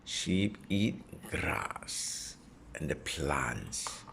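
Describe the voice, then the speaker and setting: A man reading a children's nonfiction book aloud as a parent would, in a deliberate way suited to a young child. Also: a bass voice, big pitch swings, vocal fry, background noise. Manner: deliberate, repeating and simplifying phrases for a child.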